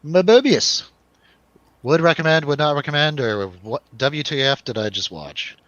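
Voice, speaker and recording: A man's voice: a little high, animated, moderate pace, fairly bright tone, lo-fi sound. A man hosting a casual movie review chat, joking and irreverent in the way he talks. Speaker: host of a movie review show.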